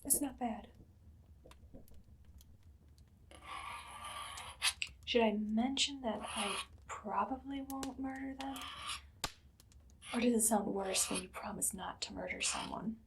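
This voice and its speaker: A woman playing an evil, menacing queen with dry humor in a soft, whispered ASMR roleplay, giving slow commands. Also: fairly high pitch, gravelly, slight background noise. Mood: dryly menacing.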